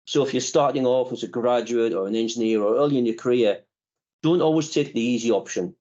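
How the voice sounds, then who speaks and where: A man in his fifties, a company chief executive, answering interview questions in a measured, reflective way with frequent pauses. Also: mid-range voice, warm tone, muffled recording.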